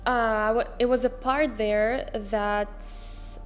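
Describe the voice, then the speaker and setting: A woman's voice: a little high, fairly even intonation, drawn-out words, soft background music. A woman in her twenties from Israel, speaking English as an as an interview guest on a handheld mic, hesitant, with many fillers and restarts. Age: 20s. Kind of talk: casual interview.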